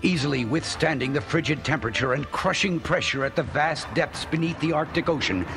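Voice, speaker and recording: A man's voice: on the high side, lively intonation, fairly quick, music in the background. A man giving dramatic scripted narration as the narrator of a storybook.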